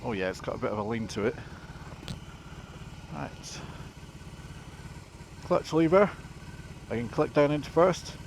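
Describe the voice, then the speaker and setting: A man's voice: medium pitch, animated, unhurried, vocal fry, long pauses. A man with a slightly blocked nose, chatting casually as he reviews a motorcycle while riding it and describing each move. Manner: chatty, narrates his actions while riding. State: sniffly, a bit blocked nose. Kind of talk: casual ride commentary. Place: on a motorcycle on the road outdoors. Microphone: action camera mounted on chin. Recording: loud engine and wind noise.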